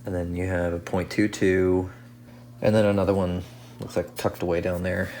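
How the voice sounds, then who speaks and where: A man's voice: low voice, flat delivery, moderate pace, darkish tone. A man giving casual hands-on repair commentary, thinking aloud in a hesitant way as he works. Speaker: radio restoration hobbyist. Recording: clear background noise.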